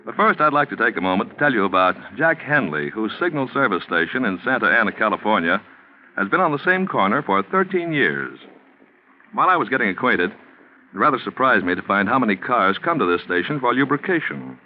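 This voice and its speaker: A man announcing in 1940s radio style, delivering a sponsor pitch with formal, polished salesmanship. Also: very animated, fairly quick, slight background noise. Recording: muffled 1940s radio recording, faint music.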